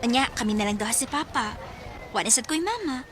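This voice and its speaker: A woman's voice: fairly high pitch, expressive, a little fast, background noise, close to an everyday voice. An actress playing a young student, a devoted and needy girlfriend and daughter, in a Bisaya radio drama with a Cebuano Filipino accent. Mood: chatty, affectionate. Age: young adult.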